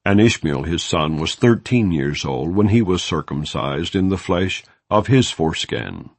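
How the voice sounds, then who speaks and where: A man with a very deep voice reads scripture aloud in a measured, solemn way. His pitch rises and falls expressively across each verse, and the sound is close and dry with no room around it. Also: animated, dry recording. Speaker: Bible narrator.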